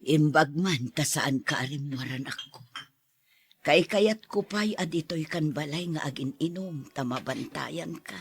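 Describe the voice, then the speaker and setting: A woman playing a gentle, worried mother in an audio drama, consoling in a hushed, pleading way. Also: low-pitched, measured pace, slight background noise. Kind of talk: soft audio drama acting.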